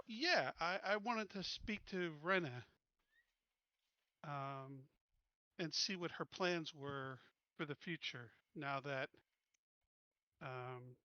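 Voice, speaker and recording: A man's voice: a little high, bright, forceful tone, dry recording, lo-fi sound. A man playing a tabletop game, chatting casually and hesitantly, sometimes speaking as his character.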